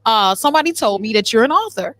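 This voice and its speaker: A woman's voice: a little high, lively intonation, fast talker, a bit bright, no room sound. A woman talk show host chatting informally and playfully as she interviews people on location. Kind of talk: casual on-location interview chat. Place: on location backstage at a theater. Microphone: handheld or stand mic with headsets.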